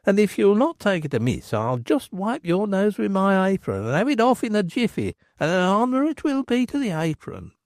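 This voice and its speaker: A man voicing a sly male innkeeper who flatters and teases in a smooth-talking way. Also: sits high, moderate pace, few pauses, no echo, clean studio sound, voice pushed up, full character voice. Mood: teasing.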